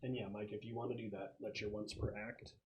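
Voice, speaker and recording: A man acting as game master, casually narrating scenes and explaining rules in a halting, think-aloud way. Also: on the low side, little pitch movement, a little mellow.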